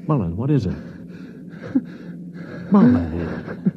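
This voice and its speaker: An animated, embittered spaceship pilot. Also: male voice, deep voice, slow, noisy background, heavily acted. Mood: urgent, concerned. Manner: dramatic, earnest. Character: embittered spaceship pilot, a man humbled and grateful. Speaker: actor, narrator of the story. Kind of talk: 1950s radio drama acting, first-person narration and dialogue. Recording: dull vintage radio recording with music.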